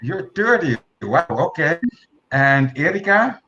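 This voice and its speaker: A man's voice: a little high, very animated, slow pace, pushed and bright, a little hiss or hum. A man in his forties hosting an online round table, talking hesitantly with many fillers and restarts over a video call. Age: 40s. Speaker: host. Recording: echoey room, dull lo-fi sound.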